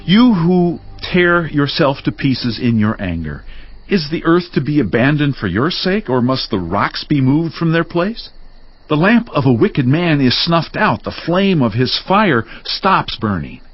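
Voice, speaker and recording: A man's voice: fairly high pitch, very animated, a little slow. An actor playing an indignant man sternly rebuking a suffering friend in a dramatized scripture audiobook, with an accusatory, declamatory delivery. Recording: dull lo-fi audio.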